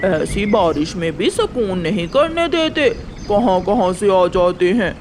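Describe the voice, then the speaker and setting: Grumpy crow hubby guy voicing him, high voice, slow n complaining, bit of noise, cartoon. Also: sing-song. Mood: irritated. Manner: complaining, irritable. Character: grumpy, lazy male crow husband.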